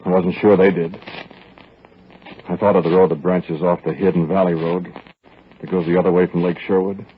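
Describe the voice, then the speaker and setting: An actor playing a grim man on the run with stolen money, narrating in a low, measured way in a 1940s radio drama. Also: flat delivery, slight background noise, close to an everyday voice. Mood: brooding. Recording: tinny old radio broadcast with faint music.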